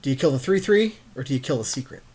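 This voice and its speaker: A man's voice: medium pitch, lively intonation, fast, darkish tone, slight background noise. A man co-hosting a gaming podcast, chatting and commenting on card play in slangy, sweary casual talk. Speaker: podcast co-host playing a card game. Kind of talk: casual game commentary with friends.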